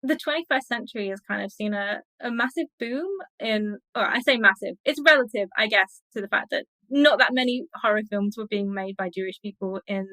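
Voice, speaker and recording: A woman giving measured, explanatory answers as an academic guest in a thoughtful interview. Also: medium pitch, pushed and bright, no echo, poor audio. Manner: measured, explanatory, uses everyday examples.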